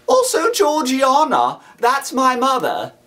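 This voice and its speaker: A man's voice: extremely high pitch, wildly swooping pitch, slow pace, bright, forceful tone, pitched above the performer's own voice, full character voice. A man voicing a small, timid, frightened orphan boy who pleads politely, in a storybook reading.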